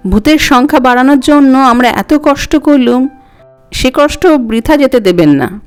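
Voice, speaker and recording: A woman's voice: sits high, lively intonation, moderate pace, clean tone, soft background music. A woman voicing a plaintive, argumentative soggy ghost in a storybook reading, pleading and justifying itself. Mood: tearful pleading.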